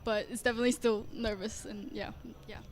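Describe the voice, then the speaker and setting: A woman's voice: on the high side, little pitch movement, brisk. A teenage girl from Singapore, a young singer answering interview questions in a shy, hesitant way with many fillers. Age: teens.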